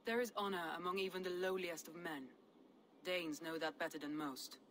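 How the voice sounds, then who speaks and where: An actress playing a proud, composed Viking clan leader in a video game, speaking in an assured, formal way. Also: female voice, on the low side, fairly even intonation, normal speed, a bit bright, close to an everyday voice. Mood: firm, measured. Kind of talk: video game cutscene dialogue.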